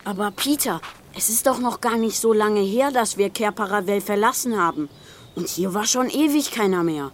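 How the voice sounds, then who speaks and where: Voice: mid-range voice, moderate pace, close to an everyday voice. An actor in a children's audio drama playing a sensible, practical child adventurer who speaks earnestly. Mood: doubtful.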